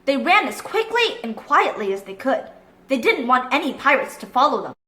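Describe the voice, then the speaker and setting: High, animated woman reading a storybook. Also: moderate pace, reverberant. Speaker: children's storybook narrator. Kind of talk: expressive read-aloud narration.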